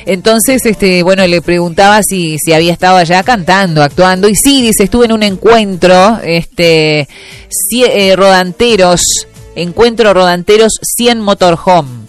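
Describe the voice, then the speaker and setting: A woman's voice: lowish voice, unhurried, faint music behind. A Uruguayan woman hosting a local radio show, chatting casually and reading listener messages with lots of fillers. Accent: Uruguayan Spanish. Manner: chatty, many fillers and restarts. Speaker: radio host.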